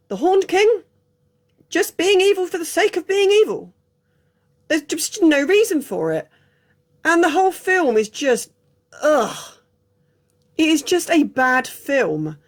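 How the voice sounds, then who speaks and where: An English woman chatting casually through an unscripted film review, frank and prone to digressions. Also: sits high. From England. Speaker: film reviewer, channel host.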